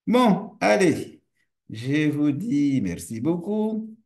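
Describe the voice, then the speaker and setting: A male university lecturer and researcher whose first language is Portuguese, explaining a topic to camera in a relaxed, friendly way, asking rhetorical questions and restarting phrases often. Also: on the high side, extremely slow.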